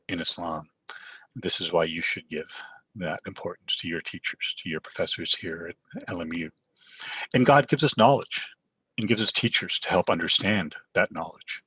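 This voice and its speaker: A middle-aged man from Pakistan who grew up in Canada, with Urdu as his first language, giving a Friday sermon in a measured way, often pausing on "uh". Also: low-pitched, pushed and bright, husky, no room sound, low-quality recording. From Pakistan, raised in Canada. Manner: measured, with frequent filler uhs and restarts. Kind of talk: sermon with Quran recitation.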